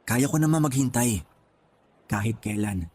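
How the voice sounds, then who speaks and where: An actor playing a devoted, patient young boyfriend in radio drama dialogue, earnest and playful. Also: male voice, mid-range voice, animated, a little slow, natural voice. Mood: earnest, tender.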